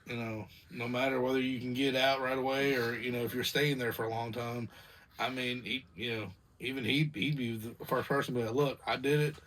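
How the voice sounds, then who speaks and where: Guy in his 20s, bright sharp voice, agreeing a lot, a lil background hiss. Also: medium pitch, level tone, a little fast, rough recording. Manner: chimes in with short agreements, loose and repetitive. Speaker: vlog co-host. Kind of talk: casual chat.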